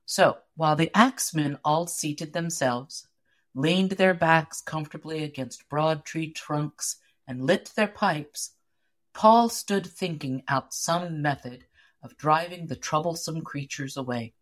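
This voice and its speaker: Expressive female storybook narrator. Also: low voice, slow pace. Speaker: audiobook narrator.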